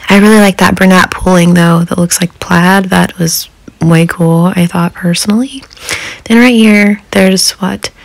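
A woman's voice: medium pitch, vocal fry. A middle-aged woman, chatting casually in a folksy, rambling way as a craft vlogger. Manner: folksy, rambling, chuckles often. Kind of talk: casual chat.

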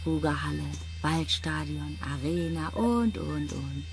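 An obsessive, low-voiced young mother-to-be. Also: takes it slowly, vocal fry, heavily acted. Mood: intimate, obsessive. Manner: intense, possessive, emotional. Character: obsessive, defiant pregnant girlfriend of a rock singer. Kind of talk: German radio crime drama acting. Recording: music behind the voice.

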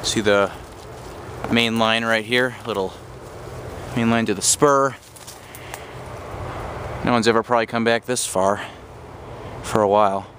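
A man's voice: lowish voice, fairly even intonation, a little slow, pauses often. A man narrating as he walks through overgrown woods, talking into a handheld camera mic with rambling restarts. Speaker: vlogger exploring abandoned railroad tracks. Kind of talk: handheld walking commentary. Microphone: handheld camera mic while walking. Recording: outdoor noise behind the voice.

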